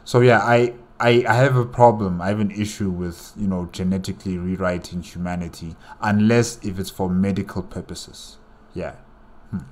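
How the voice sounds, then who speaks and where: A man giving casual, unscripted reaction commentary, halting and repeating phrases as he thinks aloud. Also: low-pitched, fairly even intonation, a little mellow. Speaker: reaction video host.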